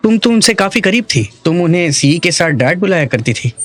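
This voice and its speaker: A male voice actor dubbing a caring, gently teasing guardian figure in a drama. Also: sits high, very animated, fairly quick. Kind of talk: dubbed drama dialogue.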